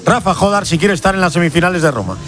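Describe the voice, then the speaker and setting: A male sports reporter delivers a live update in a markedly high voice. Faint music plays behind him. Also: animated, rapid-fire.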